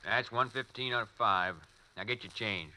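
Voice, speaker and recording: An actor playing a chatty, nosy gas station attendant in a 1940s radio drama, drawling with hesitant uh's. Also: male voice, lowish voice, normal speed, bright, forceful tone. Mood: friendly nosy.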